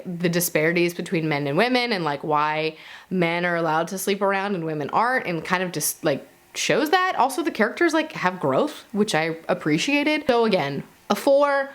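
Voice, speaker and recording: A woman giving a casual, chatty book review to camera, informal and conversational, with playful asides and self-corrections. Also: mid-range voice.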